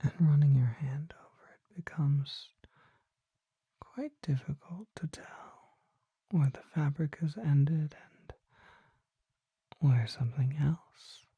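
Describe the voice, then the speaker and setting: A performer voicing a mysterious, courtly vampire apothecary in a breathy, formal ASMR whisper, close to the microphone. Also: medium pitch, no echo, muffled recording, heavily acted. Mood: mysterious, soothing. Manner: slow, formal, deliberate, hushed.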